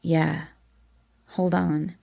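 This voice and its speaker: A woman reading a story aloud, voicing a tender young man who murmurs low and intimately. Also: level tone, slow pace, vocal fry, darkish tone, heavily acted.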